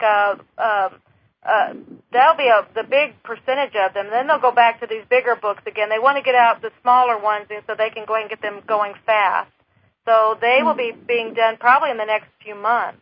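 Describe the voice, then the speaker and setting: A woman's voice: on the high side, steady tone. Matter-of-fact woman co-hosting radio. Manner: matter-of-fact, supportive, quick to agree. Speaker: co-host. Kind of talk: casual radio talk. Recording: muffled radio broadcast.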